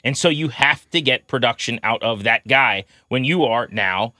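A man's voice: medium pitch, animated, moderate pace, bright, forceful tone, dry recording, clean studio sound. A man co-hosting a sports podcast, giving conversational baseball analysis in a methodical, explanatory way.

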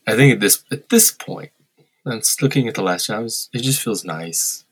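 A man with a low, slightly husky voice talks in a relaxed interview. He is reflective and wry, and turns blunt now and then. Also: moderate pace. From Korea. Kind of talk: candid conversational interview. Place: lives in Tokyo.

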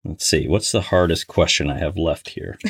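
A man's voice: low-pitched, normal speed, breathy edge, no room sound, studio quality. A man co-hosting a casual trivia game, talking in a playful, exclamatory way. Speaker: co-host of a trivia game podcast.

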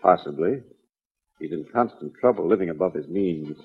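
A man's voice: deep voice, expressive, normal speed, vocal fry, husky, exaggerated voice. A man playing a busy, reluctant financial advisor in a 1940s radio drama, curt and matter-of-fact. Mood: guarded, businesslike. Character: busy, reluctant male financial advisor, guarded but businesslike. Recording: tinny, narrow-band 1940s radio recording.

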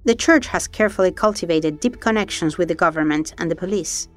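A woman hosting an investigative documentary, giving measured, serious scripted narration. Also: lowish voice. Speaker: documentary podcast host and narrator.

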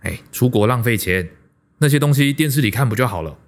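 A man's voice: mid-range voice, animated, fairly quick, natural voice. An actor with a Taiwanese Mandarin accent playing an insistent, pragmatic older father who meddles, in a radio drama. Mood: nagging.

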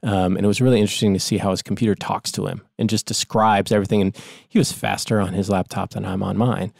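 A man co-hosting a comedy podcast, musing in a halting, deadpan way. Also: lowish voice, vocal fry, no room sound, clean studio sound. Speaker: podcast co-host.

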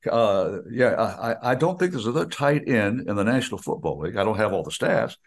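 An older man with an American accent and a somewhat low voice chats casually as a sports radio announcer. He rambles, restarting sentences and drifting into asides. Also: sing-song, fairly quick.

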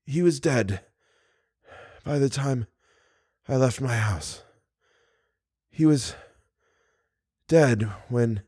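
A man voicing a weak, dying masked man who is lovesick and broken, speaking in halting short phrases and gasping for breath. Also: mid-range voice, darkish tone, breathy edge, dry recording, studio quality.

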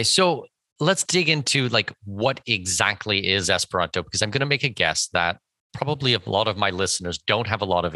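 A man from Canada hosts an interview with a bright, forceful voice. He talks in a dry, close sound and works through his ideas in long, hedged sentences. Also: sits low, dry recording. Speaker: podcast host. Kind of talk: conversational interview.

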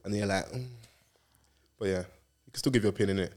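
A young man co-hosting a casual podcast chat, slangy and quick to break into loud, high laughter. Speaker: podcast co-host.

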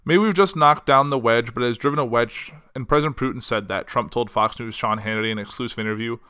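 A man's voice: mid-range voice, fast talker, bright, forceful tone, clear, resonant tone. A young man hosting a solo news podcast, reading articles aloud and then chatting casually, his voice getting worn. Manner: reads quotes then chats casually with fillers. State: losing his voice.

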